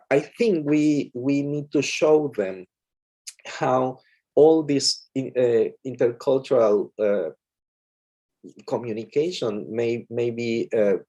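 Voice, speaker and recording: A man's voice: medium pitch, mellow. A man from Mexico, a lecturer, talking with a colleague in a hesitant way full of fillers and restarts. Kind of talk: conversation with a colleague.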